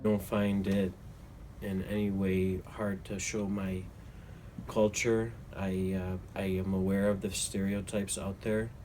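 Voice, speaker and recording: A man in his thirties from Ohio, answering interview questions in a measured, earnest way with some hesitations. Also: low-pitched. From Toledo, Ohio, US. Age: 30s. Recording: steady background noise.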